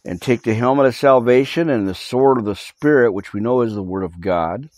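A man pastor reading scripture and giving a live prayer update on his podcast, halting with many fillers and restarts, earnestly urging listeners to repent, recorded on a cell phone in a small home studio room. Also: medium pitch, darkish tone, dry recording. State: recovering from losing his voice.

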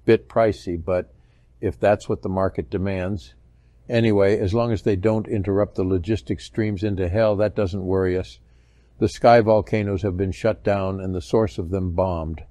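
A businesslike defense official, played by a man. His voice is low and dark, his delivery matter-of-fact. The recording is very dry, audiobook style. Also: fairly even intonation, moderate pace, no room sound, natural voice. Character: businesslike male military official briefing the president. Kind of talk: audiobook character acting.

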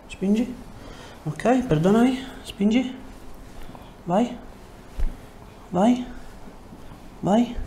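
Mellow-voiced man giving chiropractic instructions. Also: high voice, echoey room, a little hiss or hum. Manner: short, calm instructions. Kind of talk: hands-on treatment talk with a patient. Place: indoor chiropractic treatment room.

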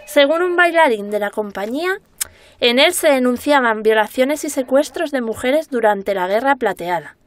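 An actress playing an inquisitive, excitable woman journalist in a radio drama, colloquial and expressive. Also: high voice, normal speed, no echo. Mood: focused recounting. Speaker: voice actor in a radio serial. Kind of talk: naturalistic Spanish radio drama dialogue.

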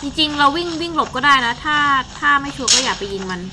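High-pitched young woman casually hosting gameplay. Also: animated, quick pace, very noisy. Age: young adult. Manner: chatty and informal, exclaiming in play. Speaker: game channel host. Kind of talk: casual live gameplay commentary. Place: indoor streaming setup at a desk.

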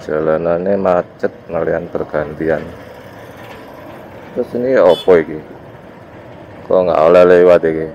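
Male motovlogger, East Javanese accent, congested from a cold. Very deep, informal riding commentary, muffled outdoor recording with faint road noise. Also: a little slow, long pauses, dark tone. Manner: informal, speaking in short loose phrases to viewers. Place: outdoors, riding a motorbike on village roads. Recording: dull muffled sound, motorbike ride noise. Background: vehicle and road noise.

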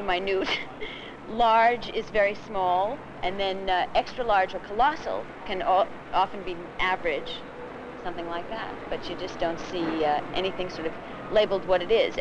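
A woman's voice: mid-range voice, fairly even intonation, moderate pace. Female shopper, wry and chatty, outdoors; lo-fi 1970s film audio, heavy street noise. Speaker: shopper interviewed. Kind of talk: casual street interview.

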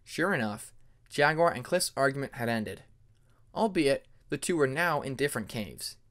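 A man's voice: medium pitch, expressive, moderate pace, a bit bright. A man reading a scripted fantasy story as an audiobook narrator.

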